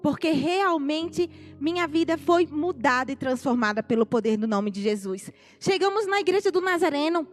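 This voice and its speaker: A woman's voice: sits high, moderate pace. A woman in her forties with a Northeastern Brazilian accent, a missionary giving her testimony to a congregation and speaking to it directly. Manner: animated, addresses the congregation directly. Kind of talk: testimony sermon. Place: church sanctuary. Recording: live church recording, faint music.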